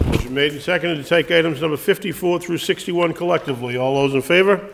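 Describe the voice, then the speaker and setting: A man chairing a city council meeting with brisk procedural phrasing. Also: a little high, gravelly. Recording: reverberant chamber with faint hiss.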